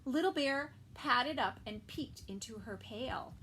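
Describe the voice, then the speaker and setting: A woman preschool teacher reading a picture book aloud to young children, adding gentle asides and soft whispered comments. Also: sits high, animated, slow, a little hiss or hum, low-quality recording.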